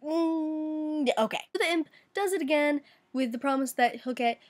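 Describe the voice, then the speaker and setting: A woman's voice: high-pitched, animated, a little fast. A woman vlogger telling stories in a casual, chatty style, with wry asides and informal slang.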